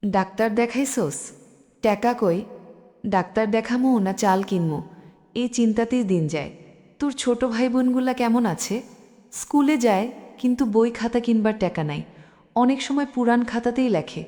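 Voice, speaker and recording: A woman's voice: a little high, expressive, normal speed, pauses often, warm tone, lightly acted. A woman voicing a troubled, caring young village friend in a cartoon, with a rural Bengali accent. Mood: worried, sorrowful. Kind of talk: cartoon drama dialogue in village dialect. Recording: reverberant recording.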